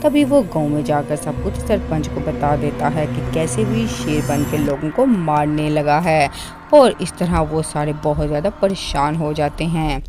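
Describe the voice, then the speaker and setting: A woman reading scripted narration for a children's cartoon story. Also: low voice. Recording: loud music behind the voice.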